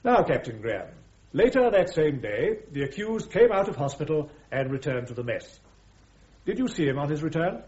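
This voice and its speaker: An actor playing a formal, probing British army major prosecuting at a court-martial in a radio drama. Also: male voice, fairly high pitch, sing-song, normal speed, a little mellow. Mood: insistent, probing. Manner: formal, precise, questioning. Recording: roomy, dull radio drama recording.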